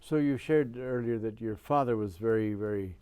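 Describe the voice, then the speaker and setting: A man hosting a television interview, asking questions in a deliberate, encouraging way and stretching out his phrases. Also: lowish voice, warm tone, smooth.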